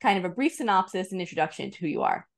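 A woman's voice: lowish voice, big pitch swings, rapid-fire, creaky. A woman hosting an interview podcast, asking friendly, well-structured questions. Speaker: podcast host.

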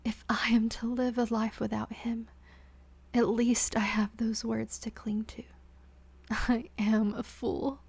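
A woman voicing a young, lovesick servant girl who talks in a trembling, breathy whisper. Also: on the high side, flat delivery, takes it slowly, heavily acted. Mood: dreamy.

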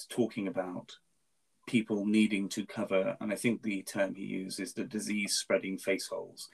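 A man's voice: low-pitched, creaky, breathy edge. A man whose first language is Farsi, hosting a casual podcast interview, talking with frequent ums and restarts. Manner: hesitant, many ums and restarts. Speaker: podcast host.

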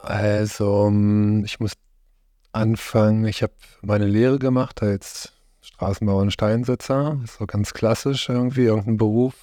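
Low, flat-voiced man with a mellow tone. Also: unhurried, no echo, clean studio sound. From Germany. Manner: hesitant, searching for words, many fillers. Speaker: interviewee, farm host. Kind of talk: relaxed conversational interview.

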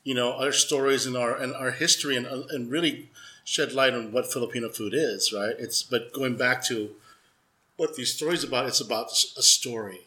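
A man's voice: medium pitch, sharp tone. Earnest male chef in an echoey room. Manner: earnest, reflective, halting. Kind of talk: casual conversation.

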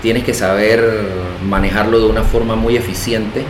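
A middle-aged man with a Panamanian accent, talking casually as an interview guest, animated and self-deprecating, repeating phrases for emphasis. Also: lowish voice, normal speed. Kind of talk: casual interview conversation, storytelling. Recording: echoey room, faint background noise.

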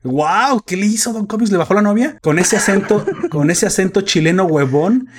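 A man's voice: sits high, animated, normal speed, a little hiss or hum. A man hosting a casual podcast chat. He jokes, laughs often and stumbles when reading aloud. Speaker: podcast host.

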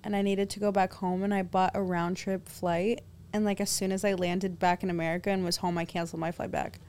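A woman's voice: fairly low pitch, level tone, fairly quick, a little mellow, a little hiss or hum. Young woman telling stories casually. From Los Angeles. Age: young adult. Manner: storytelling, frequent "like" fillers, laughs often. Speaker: guest. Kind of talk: casual podcast chat.

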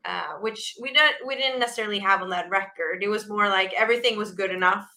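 A woman rock vocalist with a naturally raspy voice, chatting casually in an interview, with lots of fillers and restarts. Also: medium pitch, fast talker, sharp tone. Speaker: rock band vocalist, guest.

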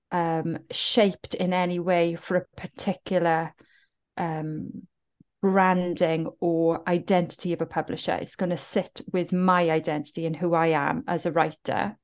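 Welsh lady on a podcast, kinda low voice, earnest and thoughtful. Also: flat delivery, measured pace, a little mellow. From South Wales. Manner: earnest, reflective storytelling. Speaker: author and guest. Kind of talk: casual podcast interview.

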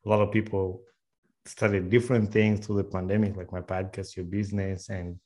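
A man's voice: low voice, level tone, moderate pace, warm tone. A man with a Ugandan accent hosting a casual podcast interview, chatty and friendly, often repeating his words. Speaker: podcast host.

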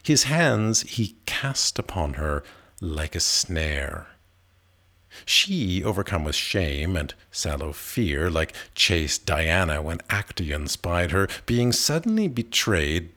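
A man reciting narrative poetry expressively, with measured, dramatic phrasing and pauses. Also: low voice, a bit bright. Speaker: reader of poetry.

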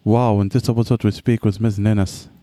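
A man's voice: lowish voice, flat delivery, moderate pace, warm tone, no room sound, clean studio sound. A man hosting a podcast, speaking casual English with loose grammar and interviewing a guest. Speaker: podcast host.

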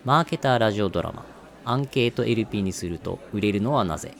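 Lively low-voiced man explaining politely. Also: fast talker, vocal fry. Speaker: podcast host. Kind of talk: casual explanatory chat with scripted narration.

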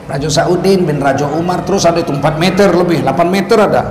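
A man's voice: on the high side, fast talker, noisy background. A male Islamic preacher, giving a religious lecture laced with sarcastic political commentary and rhetorical questions to the audience. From North Sumatra, Indonesia.

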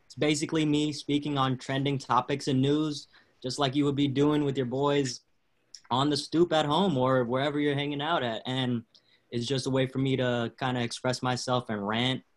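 Man from san francisco, relaxed, casual podcast talk, mid pitch. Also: moderate pace. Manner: easygoing, street-casual. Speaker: podcast host guest. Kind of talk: casual chat.

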